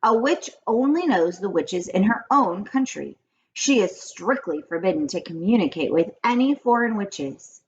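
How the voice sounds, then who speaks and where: A woman voicing an old grandmother in a children's storybook, confiding grave witch lore in a deliberate, dramatic way. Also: medium pitch, expressive, highs cut off, close to an everyday voice. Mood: gravely confiding. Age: older. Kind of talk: children's storybook read-aloud.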